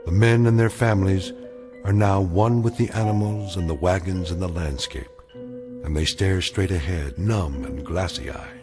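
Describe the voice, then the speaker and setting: A man narrating an eerie radio drama in a measured, solemn, deliberate way. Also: deep voice. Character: grave omniscient male storyteller of eerie tales. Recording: music behind the voice.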